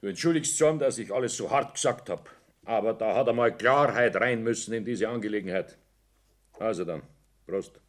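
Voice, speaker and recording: A man's voice: lowish voice, normal speed, lightly acted. An actor with a Bavarian accent playing a jovial, scheming businessman in a 1960s German radio play. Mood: jovial, pushy. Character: jovial, scheming businessman father guarding his reputation.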